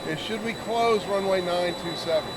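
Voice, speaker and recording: Higher-voiced man interviewing over aircraft noise. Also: normal speed. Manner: chatty, explains jargon to listeners. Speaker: interviewer and pilot. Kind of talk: casual on-location interview. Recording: loud aircraft noise behind. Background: airplane and jet engine noise.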